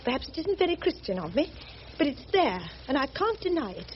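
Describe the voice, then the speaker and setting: Determined English missionary, Received Pronunciation, formal, high-pitched, expressive, radio drama, muffled noisy recording. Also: female voice, brisk. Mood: earnest, indignant. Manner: prim, formal, earnest. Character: prim, determined English missionary woman of thirty-three.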